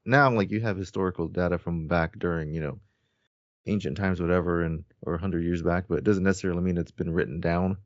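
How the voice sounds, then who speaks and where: A man with a fairly low voice chats as a podcast co-host. His phrasing is loose and rambling, as in talk among friends. The sound is dry and a bit dulled. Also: steady tone, fast, no room sound. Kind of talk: casual fan discussion. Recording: dry, dull lo-fi audio.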